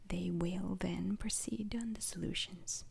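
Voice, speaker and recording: A woman playing a calm, formal instructor at a space agency in an ASMR roleplay, whispering slowly and haltingly with a breathy, husky voice. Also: on the low side, a little hiss or hum. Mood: calm, instructive. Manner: slow, deliberate, halting, formal.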